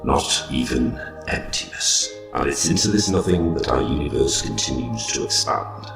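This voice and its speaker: An extremely deep-voiced man with heavy creak delivers mock-documentary narration. He is dry and pompous, sounding deadpan throughout. Music plays behind him in a roomy recording. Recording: roomy echo under music.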